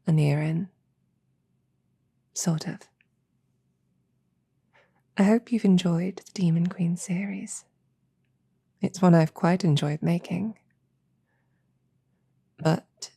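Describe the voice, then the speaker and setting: A soft-spoken woman with a low, mellow voice gives a gentle outro to listeners. Breath runs through the words, which often drop close to a whisper. Phrases come slowly and a little haltingly, with a coy touch. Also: creaky, breathy edge, no room sound. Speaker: audio roleplay creator addressing listeners. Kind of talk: soft-spoken ASMR-style outro and plug.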